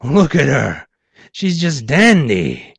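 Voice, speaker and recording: A man's voice: medium pitch, wildly swooping pitch, slow pace, vocal fry, husky, dry recording, voice pushed up, exaggerated voice. A man voicing a menacing, scornful thug henchman in a phlegmy growl for an audio story. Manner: taunting, scornful. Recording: dull muffled lo-fi audio.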